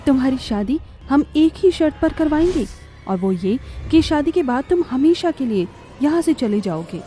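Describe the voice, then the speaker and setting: A woman's voice: high voice, animated, normal speed, warm tone, faint music behind. A woman voicing a bold, earnest young woman in a horror cartoon, speaking dramatically. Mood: firm. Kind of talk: Hindi horror cartoon voice acting.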